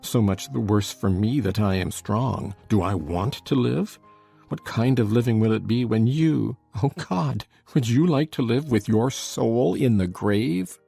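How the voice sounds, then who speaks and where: A man playing a tormented, bitter lover in a dramatized audiobook, with a gravelly, husky voice and an anguished, intense delivery. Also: mid-range voice, measured pace, warm tone, no room sound, soft background music. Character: tormented, brooding lover, bitter and fiercely passionate.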